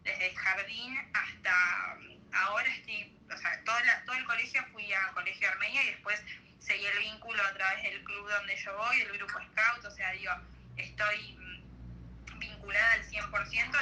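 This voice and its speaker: A woman's voice: medium pitch, fairly even intonation, normal speed, sharp tone, a little hiss or hum, rough recording. A young woman from Argentina answering interview questions sincerely, in a rambling, filler-heavy way.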